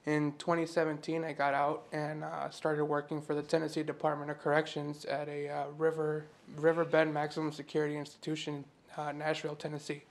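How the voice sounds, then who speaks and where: A man who grew up in Minnesota introducing himself as a new jail employee, plain and steady with a few fillers. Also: a little high, a little fast, creaky, few pauses. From Mexico, raised in Minnesota. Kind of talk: self-introduction at a public meeting.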